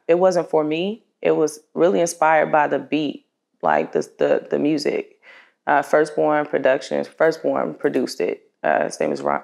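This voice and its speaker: A woman with a low voice and a gravelly, creaky edge tells the story behind her work as a singer-songwriter. Her delivery is casual and conversational, like an informal interview, and she voices other people's remarks as she goes. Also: darkish tone.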